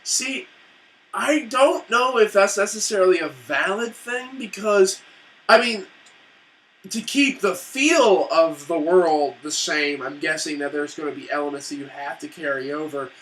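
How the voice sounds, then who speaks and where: A man's voice: fairly high pitch, a little slow, fairly bright tone, distant mic, poor audio. A young man co-hosting a casual movie review, wisecracking and profane, with lots of "and whatnot". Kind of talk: casual banter.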